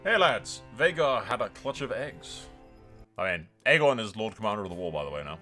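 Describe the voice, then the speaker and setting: A man's voice: lowish voice, very animated, a little fast, sharp tone, faint music behind. A man hosting a gaming video, giving casual, improvised commentary that drifts into dramatic storytelling, sweary and speaking straight to viewers.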